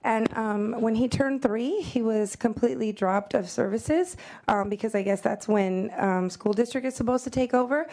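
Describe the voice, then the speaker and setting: A woman, a new parent, giving a nervous, hesitant public comment with many pauses. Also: fairly high pitch, a little mellow. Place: state hearing room.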